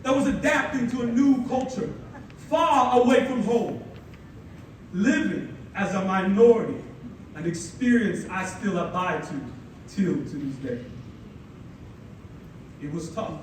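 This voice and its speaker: A young man from the Philippines, a native Tagalog speaker, giving a ceremonial keynote speech with energetic, playful storytelling and dramatic pauses. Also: sits high. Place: large hall at a ceremony. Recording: echoey hall, mic far off, audible room noise.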